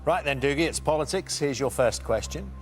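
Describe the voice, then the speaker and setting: A man's voice: on the high side, expressive, fairly quick, faint music behind. A man hosting a television quiz show, chatty and jokey, with frequent fillers.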